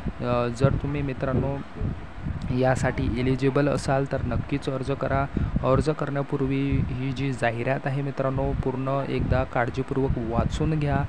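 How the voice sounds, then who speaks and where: Flat-toned man explaining over traffic noise. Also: medium pitch. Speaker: YouTube host announcing job openings. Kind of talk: informational explainer to viewers, semi-scripted.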